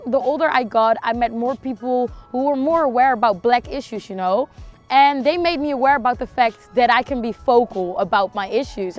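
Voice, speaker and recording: A woman's voice: on the high side, a little mellow. A woman of twenty-one, telling her personal story in a reflective, conversational way. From Netherlands.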